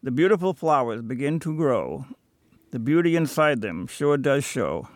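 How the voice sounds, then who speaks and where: An older man at a senior center reading his own rhyming poem, halting and self-amused at the start. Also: mid-range voice, sing-song, no echo.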